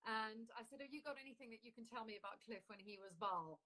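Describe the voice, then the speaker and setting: A woman hosting a casual interview, chatty and animated, with self-deprecating asides. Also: a little high, fast talker, a crowd in the background, poor audio. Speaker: interview host and actress.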